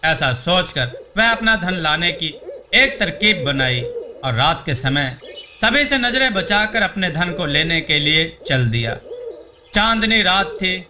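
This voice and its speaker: A man narrating a scripted folk tale as a storyteller, in a measured, deliberate delivery. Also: high-pitched, very animated, a crowd in the background.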